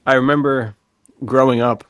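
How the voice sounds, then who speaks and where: A man co-hosting a podcast, chatting casually in an excitable, rambling, slang-filled way. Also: mid-range voice, moderate pace, no echo. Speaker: podcast co-host. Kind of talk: casual chat.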